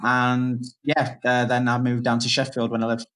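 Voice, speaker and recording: A man's voice: mid-range voice, monotone, moderate pace, fairly bright tone. A man from Leeds, chatting hesitantly and stumbling over his words. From Leeds, England.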